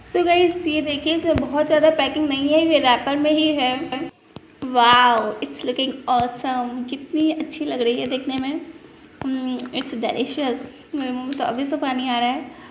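High-voiced woman reviewing a chocolate bar. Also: fairly even intonation, a little slow. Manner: chatty, addresses viewers as guys, mixes English phrases. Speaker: food channel host reviewing chocolate. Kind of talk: casual product review vlog in Hindi-English mix. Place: indoors at a table. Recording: echoey room with faint noise.

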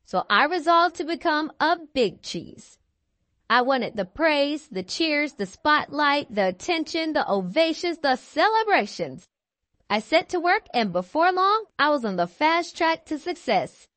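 A woman plays a bragging cheese wheel from a storybook, high and swooping in pitch. The delivery is theatrical and melodramatic, with drawn-out emphatic words, on a dry, close recording. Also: sing-song, a bit bright, dry recording, exaggerated voice. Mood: proud, eager. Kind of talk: expressive children's picture book read-aloud.

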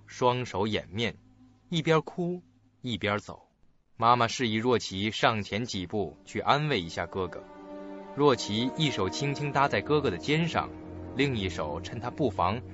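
A man narrating a radio drama in a dramatic, scripted storytelling style. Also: fairly low pitch. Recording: muffled dull recording with loud music.

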